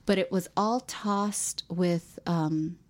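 A woman's voice: fairly low pitch, fairly even intonation, slow. A woman from Hawaii in casual conversation, fondly recalling family holiday food and explaining local identity. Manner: reminiscing, with frequent restarts and "like". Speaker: podcast co-host. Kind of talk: casual chat.

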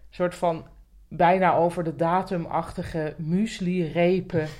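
A woman's voice: fairly low pitch, steady tone, slow pace, a little mellow. A woman co-hosting a podcast, chatting casually in an inquisitive, conversational way. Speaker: podcast co-host. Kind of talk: casual chat.

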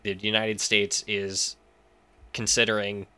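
A man's voice: fairly low pitch, completely flat delivery, slow pace, sharp tone, clean tone. A man explaining things as an interview guest in a casual chat, thinking aloud as he goes. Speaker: podcast writer, interview guest.

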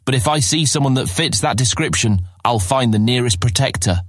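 A man's voice: mid-range voice, expressive, brisk, dry recording, clean studio sound, lightly acted. An actor playing an earnest, polite young male guildmaster in a fantasy audio drama, restrained and a little unsure. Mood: composed, deferential.

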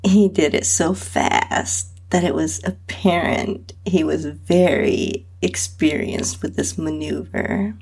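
Female narrator for erotic audio fiction: lower register, husky, slow delivery. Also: darkish tone. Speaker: podcast host and narrator. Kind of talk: first-person erotic audio story narration.

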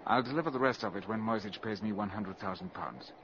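An actor playing a suave, self-assured valet turned spy in a 1950s radio drama, sardonic and theatrically polite. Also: male voice, fairly low pitch, quick pace, a bit bright, slight background noise, lightly acted. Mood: cool bargaining. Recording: dull old 1950s radio recording.

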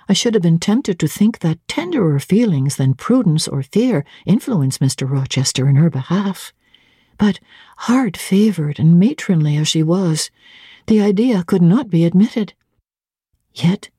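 A woman narrates classic fiction with theatrical expression. Her voice is low, mellow and somewhat husky. The delivery is deliberate and dramatic, shaped phrase by phrase. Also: expressive, dry recording, clean studio sound. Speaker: audiobook narrator. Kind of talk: dramatic literary reading.

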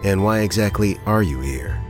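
A man's voice: sits low, animated, normal speed, soft background music. An actor playing a suspicious, questioning man in an audio drama trailer.

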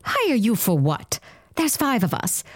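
A slow-talking, sly schemer. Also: female voice, fairly high pitch, huge pitch swings, dry recording, clean studio sound, exaggerated voice. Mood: curious, skeptical. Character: sly, self-serving female schemer. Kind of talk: audio drama acting.

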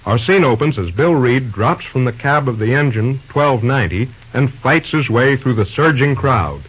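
A man's voice: medium pitch, animated, moderate pace, a little hiss or hum. A man announcing and narrating an old-time radio serial from a script. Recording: muffled old radio recording, music behind.

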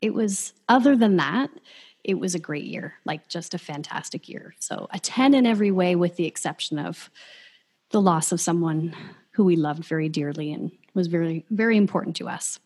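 A woman in her thirties co-hosting a podcast, chatting in a warm, reflective and earnest way. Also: on the low side, normal speed. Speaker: podcast co-host. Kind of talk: casual podcast chat.

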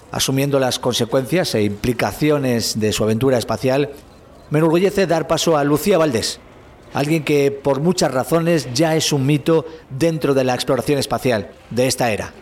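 A man's voice: mid-range voice, darkish tone, close to an everyday voice. A man with an accent from Spain playing a proud, courteous space agency spokesman, giving a formal, polite press conference address in an audio drama. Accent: Spanish from Spain.

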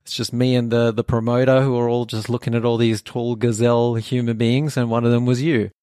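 A man's voice: mid-range voice, level tone, a little fast, dark tone, clean tone, no room sound, clean studio sound. A man in his thirties from Australia whose first language is German, telling his life story in an earnest, reflective way with many fillers. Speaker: podcast co-host. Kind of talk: personal storytelling.

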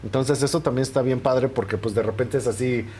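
A man's voice: mid-range voice, brisk, a little mellow. A man with a Mexican accent talking casually as a guest in an interview. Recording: background noise.

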